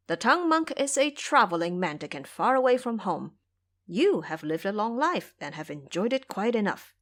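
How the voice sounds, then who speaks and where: A woman's voice: mid-range voice, sing-song, normal speed. A woman playing a brash, impatient young male monk who talks in a scolding, emphatic way in an audio drama. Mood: exasperated.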